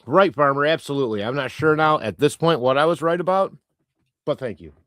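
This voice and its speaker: A man's voice: fairly high pitch, expressive, a little fast, a bit bright, dry recording, clean studio sound. A man whose native language is Croatian, hosting a casual livestream and reading out chat in a rambling, chatty way with many fillers and restarts. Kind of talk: casual live-stream chat.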